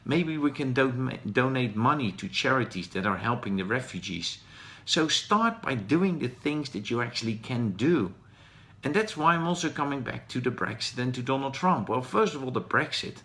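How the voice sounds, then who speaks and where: A man's voice: medium pitch, lively intonation, normal speed, bright, forceful tone. A man in his forties from the Netherlands with a Dutch accent, talking earnestly and encouragingly on a daily live stream, with frequent ums and uhs. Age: 40s. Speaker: vlogger.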